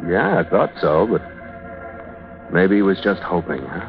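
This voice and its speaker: Suspicious hardboiled skipper, American accent, deep-set pitch, terse wisecracks, old radio drama, dull lo-fi sound. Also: male voice, sing-song, a little slow, full character voice. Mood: smooth, probing. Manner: terse, wisecracking, hard-bitten. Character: tough, suspicious male ship's skipper narrating a mystery. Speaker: lead actor and narrator. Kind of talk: hardboiled 1940s-50s American radio acting and narration. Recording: muffled old radio recording with music behind.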